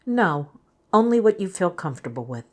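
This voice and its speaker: A woman playing a clinician, asking scripted interview questions in a measured, neutral way. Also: lowish voice, very animated. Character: measured female clinician giving a structured interview.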